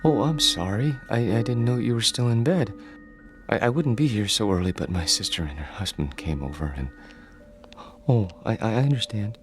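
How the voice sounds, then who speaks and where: An actor playing a shy, lonely, gentle mother's boy in a radio drama, hesitant, stammering and apologetic. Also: fairly low pitch, animated, moderate pace, lightly acted. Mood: shy, tender. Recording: radio play with soft music underneath.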